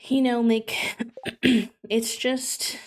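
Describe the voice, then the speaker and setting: A woman streaming a game, chatting casually and thoughtfully with many fillers, her voice slightly croaky from just waking up. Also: fairly high pitch, level tone, extremely slow, a little mellow. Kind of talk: casual livestream chat while playing.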